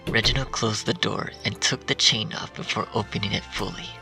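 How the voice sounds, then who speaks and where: A man narrates dark fan fiction in a hushed, half-whispered voice. His tone is bright with a gravelly rasp. He sounds a bit distant from the mic, with faint music underneath. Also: lowish voice, fairly even intonation, a little fast. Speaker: story reader.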